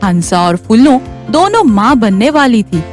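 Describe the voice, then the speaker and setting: A woman's voice: on the high side, sing-song, measured pace, a little mellow. A woman narrating a scripted children's story. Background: children's background music.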